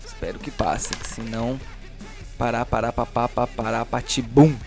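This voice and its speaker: A man's voice: medium pitch, fairly even intonation, normal speed, vocal fry, darkish tone, faint music behind. A man narrating a tabletop roleplaying game as game master, improvising scenes and addressing the players.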